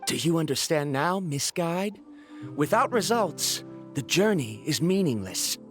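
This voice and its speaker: An actor playing a proud, stubborn scholar in a video game, speaking formally and argumentatively with a touch of bitterness. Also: male voice, on the high side, expressive, unhurried, faint music behind. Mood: bitter, defiant. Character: proud, stubborn, embittered adult male scholar. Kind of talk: video game character dialogue.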